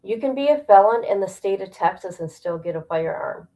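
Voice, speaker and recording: A woman's voice: mid-range voice, expressive, fairly quick, dark tone, low-quality recording. A woman hosting a casual livestream, reading aloud and commenting in a blunt, incredulous, gossipy way. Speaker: livestream host reacting to a document.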